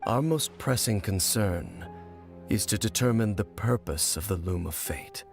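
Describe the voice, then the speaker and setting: A grave and mysterious wanderer, speaking formally and with measured weight. His voice sits fairly low. Faint game music plays underneath. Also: animated, gravelly. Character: grave, mysterious male wanderer, guarded and solemn.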